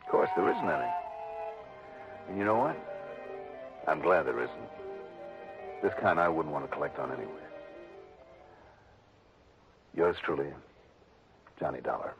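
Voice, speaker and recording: A man's voice: very deep voice, animated, moderate pace, gravelly, long pauses, music in the background, full character voice. An actor playing a tough, sardonic male insurance investigator in a 1960s radio drama, with a clipped, wry, pressing delivery. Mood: dry. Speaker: lead actor. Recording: muffled old radio broadcast.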